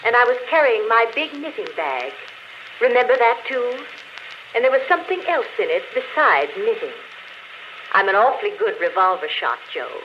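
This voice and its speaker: Cool murderess, old radio sound. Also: female voice, on the high side, sing-song, moderate pace. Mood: coolly confiding. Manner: intimate, matter-of-fact. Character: murderess confessing on a phonograph record, coolly unrepentant. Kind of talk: 1940s radio acting. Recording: dull, noisy old radio recording.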